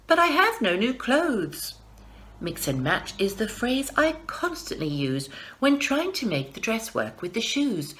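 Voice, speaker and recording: A woman performs comic rhyming verse. Her voice sits fairly high and swings widely in pitch. The delivery is wry and expressive, full of exasperated asides. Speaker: performer reading a piece.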